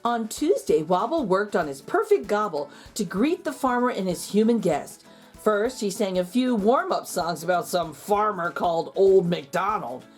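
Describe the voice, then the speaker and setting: A woman storyteller reading a children's picture book aloud in an expressive narrating style. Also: mid-range voice, normal speed, husky, faint music behind.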